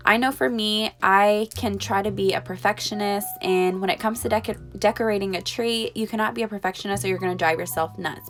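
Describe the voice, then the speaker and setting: A woman narrates a voiceover while still getting over a cold, so her voice sounds a little off. She talks in a friendly, reassuring, conversational way at a medium pitch. Faint music runs underneath. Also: a bit bright. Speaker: home decor vlogger. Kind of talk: voiceover tips.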